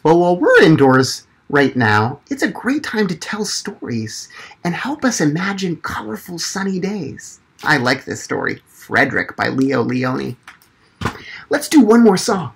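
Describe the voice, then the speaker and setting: A man, telling a picture-book story to children in a gentle, expressive manner. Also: fairly high pitch, unhurried, gravelly, slightly rough. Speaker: library storytime performer. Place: small indoor room. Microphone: camera mic at arm's length or further.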